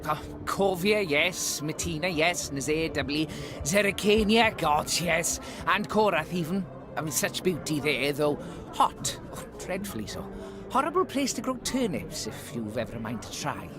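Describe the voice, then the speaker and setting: A man playing an eager, chatty, well-travelled eccentric in a fantasy video game, rambling excitably. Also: a little high, normal speed, music in the background, natural voice. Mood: dreamy, rambling. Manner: excitable, rambling, swooping delivery.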